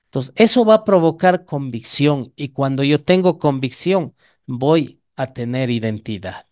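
A man's voice: mid-range voice, lively intonation, measured pace, a little mellow. A man with an Ecuadorian Spanish accent preaching an evangelical sermon in a didactic way, often putting questions to his listeners.

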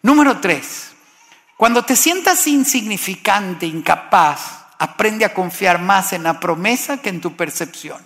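A man's voice: high-pitched, expressive, unhurried, fairly bright tone, in a large hall. A man from Costa Rica preaching a sermon, impassioned and building to exclamations.

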